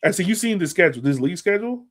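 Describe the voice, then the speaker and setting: A man's voice: sits high, animated, very fast, fairly bright tone. A man co-hosting a sports podcast in casual fan chat, talking emphatically and repeating phrases to drive points home.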